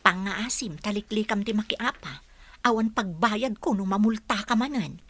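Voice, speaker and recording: A woman's voice: mid-range voice, moderate pace, fairly bright tone, a little hiss or hum, natural voice. A Filipino actress playing a stern, exasperated, scolding mother in a radio drama. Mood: admonishing. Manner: scolding, admonishing.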